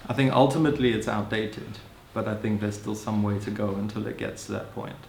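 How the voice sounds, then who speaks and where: A man in his thirties who grew up in Hong Kong, speaking as an interviewee in a thoughtful, musing way, often adding "you know" and soft laughs. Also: on the low side, fairly even intonation, a little fast, vocal fry, a little hiss or hum. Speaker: interviewee, social anthropologist. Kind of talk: reflective personal account. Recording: roomy echo.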